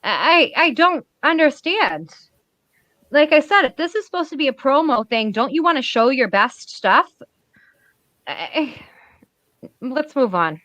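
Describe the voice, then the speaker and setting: Bright, laughing Boston woman. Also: high-pitched, sing-song, a little slow, pauses often, no echo. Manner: blunt, laughs often, stammering restarts. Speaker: podcast co-host. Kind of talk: casual critique chat.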